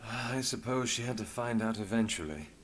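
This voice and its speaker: An actor playing a guarded, authoritative inspector in a game, speaking in a dry, measured, formal way. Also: male voice, on the low side, animated, slight background noise, natural voice. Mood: resigned.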